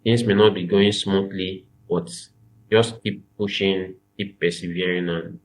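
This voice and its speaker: Young man, Nigerian accent, low-pitched, earnest, repeats himself, podcast guest. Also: steady tone, a little slow. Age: young adult. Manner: earnest, repeats phrases, emphatic. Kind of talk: casual interview chat.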